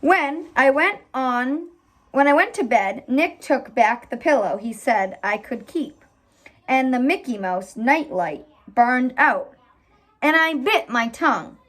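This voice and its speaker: A woman reading a picture book aloud expressively as a teacher, stopping to put simple questions to a child. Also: sits high. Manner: animated, pauses to ask simple questions.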